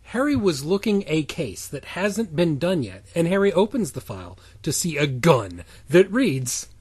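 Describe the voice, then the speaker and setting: Man, comedy podcast co-host, husky voice, lively pitch, mock-serious reading, laughing. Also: a little slow. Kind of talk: casual comedic reading and banter.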